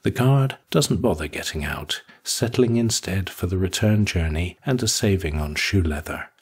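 A man narrating a scripted literary short story in a husky voice. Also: deep voice, lively intonation, moderate pace.

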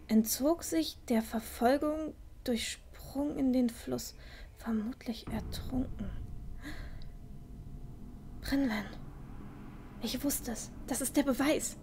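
A high-voiced, curious girl played by a woman in a fantasy radio play. She takes her time, muttering her thoughts aloud and easily grossed out. There is clear noise in the recording. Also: a little mellow. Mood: absorbed, appalled. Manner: thinking aloud, with gasps and sounds of disgust. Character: curious, squeamish young girl apprentice adventurer, muttering to herself. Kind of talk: fan-made fantasy radio play acting.

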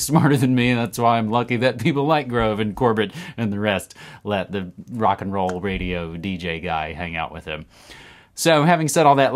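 A man in his thirties hosting a casual news roundup to camera from a room at home, animated and talking with his hands. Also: mid-range voice. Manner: animated, talks with his hands, upbeat asides. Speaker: podcast host. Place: home room with record shelves.

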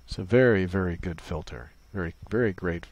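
A man's voice: deep voice, a little fast, heavy background noise. A man presenting an unscripted tutorial, explaining a setup casually, step by step. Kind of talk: casual unscripted software walkthrough.

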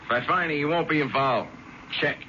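An actor playing a bitter, secretive ex-convict in a 1970s radio drama. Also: male voice, fairly high pitch, fairly quick, sharp tone. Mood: determined. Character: bitter male ex-convict football player, truck driver, secretive. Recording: muffled, noisy 1970s radio broadcast.

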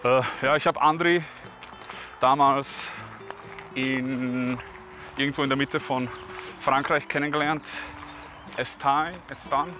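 A man's voice: mid-range voice, fairly even intonation, takes it slowly, pauses often, over music. A man in his thirties hosting a casual outdoor interview while out on a hike. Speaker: vlog host and interviewer. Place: outdoors on a mountain valley hike and at a garden table.

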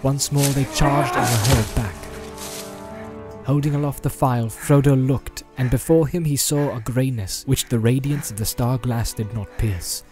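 A man narrating a fantasy audiobook in a solemn, measured, dramatic literary style. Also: mid-range voice. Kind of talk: dramatic scripted storybook narration. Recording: loud background music and sound effects. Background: loud cinematic music.

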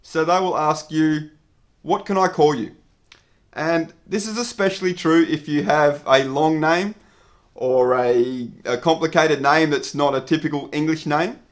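A man in his thirties speaks straight to camera like a teacher. His voice sits a little high, and he explains each point clearly at an even, measured pace. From Australia. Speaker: English teacher. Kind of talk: scripted lesson to camera. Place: indoor studio with dark backdrop.

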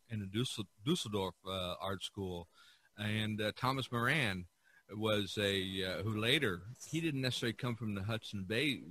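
Low-voiced man, bright tone, halting delivery. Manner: halting, searching for words. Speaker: podcast co-host. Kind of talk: casual discussion of art history.

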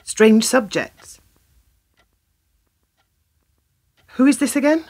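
A woman's voice: high voice, normal speed, a little mellow, breathy edge. An actress playing a wary, sardonic young working woman in a radio play, curt, dry and challenging. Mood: wary, questioning.

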